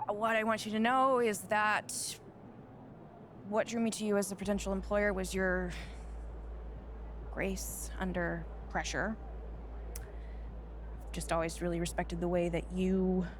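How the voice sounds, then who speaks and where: Game cutscene: woman as a commanding, businesslike publicist, brisk, noisy capture. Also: medium pitch, steady tone, lightly acted. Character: brisk no-nonsense female publicist, adult. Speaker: publicist for a rookie basketball player. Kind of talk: video game cutscene acting.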